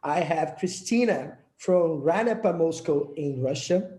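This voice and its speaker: Young Brazilian-accented man, earnest session presenter, mellow voice, slightly raised pitch. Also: animated, a little slow, echoey room. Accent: Brazilian Portuguese. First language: Portuguese. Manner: earnest, with small slips and restarts. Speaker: project leader presenting a session. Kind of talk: prepared presentation speech.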